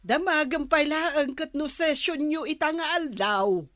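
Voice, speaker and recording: A woman's voice: high voice, unhurried, fairly bright tone, no room sound, studio quality. A woman with an Ilocano accent playing a worried, nagging village captain's wife in an audio drama. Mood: scolding.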